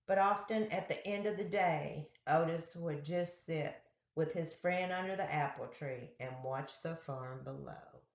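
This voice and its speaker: A woman reading picture books aloud to young children as a storyteller, with deliberate, simple phrasing. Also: sits low. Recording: roomy, echoey, dull lo-fi sound.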